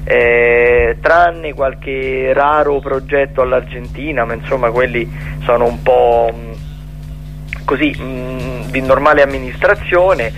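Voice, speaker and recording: Man, medium pitch, hesitant drawn-out phrasing, casual radio talk, music behind. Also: fairly even intonation, highs cut off.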